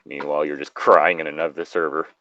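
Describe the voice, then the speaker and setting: Low-voiced man bantering, slightly dull mic. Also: very noisy. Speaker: co-host of a story reading show. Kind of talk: casual reading and banter.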